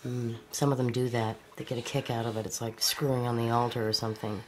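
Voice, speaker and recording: An actress playing a tough, cynical young rebel in an audio drama, speaking in a wry, blunt way. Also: female voice, very deep voice, flat delivery, fast talker, background noise. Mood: cynical, resigned. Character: cynical, tough woman, a captured rebel escapee.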